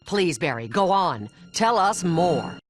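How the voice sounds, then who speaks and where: A woman's voice: lowish voice, slow. A woman voicing a nosy, self-important female bee talk-show host in a cartoon game, dramatic and gushing, with music behind her. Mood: coaxing. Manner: dramatic, animated interviewing.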